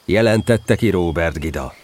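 Low-voiced, very animated male storyteller. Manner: expressive storytelling. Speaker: narrator. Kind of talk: scripted children's story narration.

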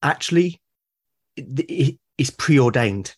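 A man's voice: medium pitch, expressive, slow, slightly rough. Male guest, mid-range voice, emphatic and enthusiastic. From Britain. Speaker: podcast guest. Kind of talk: conversational interview.

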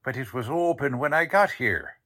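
An actor playing an impatient, sarcastic, tough-talking police detective in a 1940s-style radio drama. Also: male voice, mid-range voice, expressive, normal speed, bright, forceful tone. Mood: matter-of-fact.

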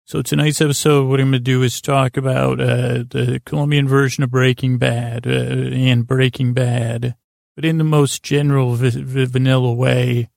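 A man hosting a bedtime podcast in a gravelly, creaky voice, meandering and halting, often doubling back on himself. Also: mid-range voice, darkish tone, no echo, studio quality. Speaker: podcast host. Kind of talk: rambling bedtime sleep podcast monologue.

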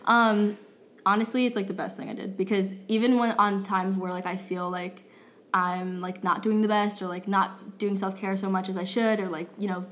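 A woman's voice: medium pitch, level tone, normal speed, fairly bright tone. A Brazilian woman in her twenties with Portuguese as her first language, co-hosting a law school podcast in a chatty, filler-heavy style, often laughing. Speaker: law student podcast co-host. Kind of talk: casual chat.

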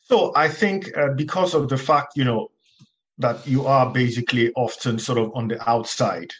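Guy from nigeria answering questions, slow and halting, lots of uhs. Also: mid-range voice. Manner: hesitant, many fillers. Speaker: author guest. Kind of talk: reflective interview answers.

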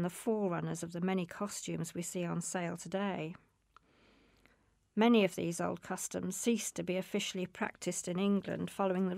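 A woman reading a scripted, informative narration in a measured, explanatory way. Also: lowish voice, gravelly, darkish tone, no room sound, studio quality. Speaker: magazine programme presenter.

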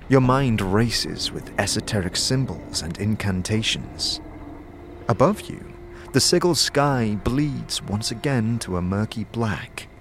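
Low-voiced man narrating in second person. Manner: steady, descriptive reading. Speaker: narrator and producer.

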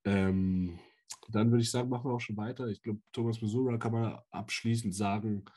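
A man co-hosting a casual sports podcast, giving details in a relaxed, informative way. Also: on the low side, brisk, husky. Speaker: podcast co-host.

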